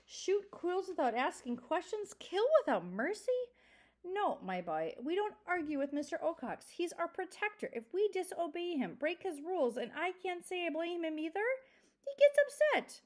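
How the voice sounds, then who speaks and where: A woman voicing a stout, stern deer mouse father who lectures gravely and self-importantly in a storybook reading. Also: fairly high pitch, expressive, normal speed, darkish tone, lightly acted. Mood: lecturing.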